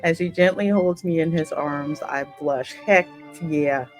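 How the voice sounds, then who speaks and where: A woman's voice: sits low, unhurried. A woman hosting a gaming channel, reading dialogue aloud and reacting in a chatty, playful, teasing way. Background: game music.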